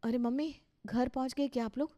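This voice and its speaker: A woman's voice: sits high, steady tone, a little fast, warm tone, voice pushed up, lightly acted. A woman voicing an earnest young married daughter in a cartoon story, speaking with urgent caution. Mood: earnest warning.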